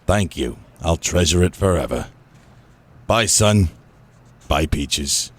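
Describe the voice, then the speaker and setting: A man playing a gruff, older small-time crook and sentimental absent father in a comic noir radio play. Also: deep voice, animated, takes it slowly, exaggerated voice. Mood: wistful, fond.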